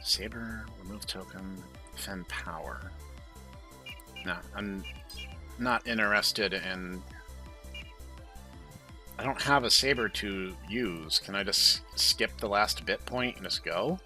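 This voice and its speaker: Male gaming host, bright voice, thinking out loud through play over faint background music. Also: fairly low pitch, slow pace, pauses often. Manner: thinking aloud. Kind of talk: game playthrough commentary and read-aloud. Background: game music.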